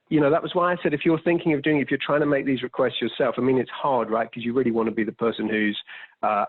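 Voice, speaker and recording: A man's voice: medium pitch, dry recording. A man answering audience questions conversationally as a panelist, choosing his words with hesitant, thoughtful phrasing. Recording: thin, phone-like video call audio.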